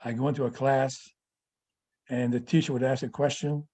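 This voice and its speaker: An elderly man in his eighties, originally from France and a native French speaker, answers audience questions with personal memories. His voice is warm and husky. He talks haltingly, stopping often to restart and fill with "yeah". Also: mid-range voice, level tone. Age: 80s.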